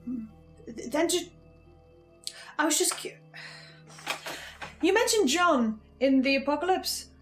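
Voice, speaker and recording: Anxious, awkward archivist, female performer, horror roleplay: high voice, slow halting delivery, stammers, music underneath. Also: animated. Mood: wistful. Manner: hesitant, stammering, self-interrupting. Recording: music behind the voice.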